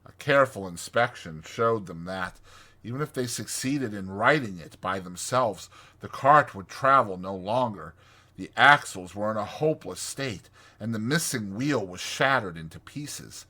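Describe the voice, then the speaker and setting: A man narrating a dramatized audiobook story in a measured, clearly phrased and expressive way. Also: lowish voice.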